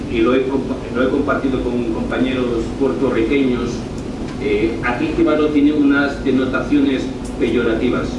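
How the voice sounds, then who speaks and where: A middle-aged man with a northern Spanish accent giving an informal university presentation, deliberate, repeating and rephrasing himself. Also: mid-range voice, highs cut off. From northern Spain. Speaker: university lecturer. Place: university lecture room, recorded from afar. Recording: very echoey room, distant mic, clear noise.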